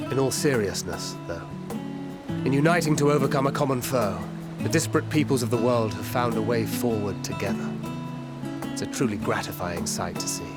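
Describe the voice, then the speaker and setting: A man voicing a wry, loyal fighter in a fantasy game, conversational and unhurried. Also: mid-range voice, lightly acted. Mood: sincere. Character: wry, loyal male gunblade fighter, easygoing yet determined. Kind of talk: dramatic fantasy game cutscene acting. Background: music.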